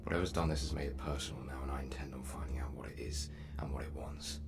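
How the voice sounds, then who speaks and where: A man's voice: extremely low pitch, fairly even intonation, fast. An actor playing an earnest, anxious man who travels through space and time, intense and confiding in an audio drama. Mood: confiding, resolute.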